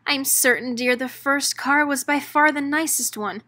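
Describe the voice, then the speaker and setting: A woman's voice: high voice, normal speed, sharp tone. A woman playing a worried sensible bug husband. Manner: worried, rhyming verse.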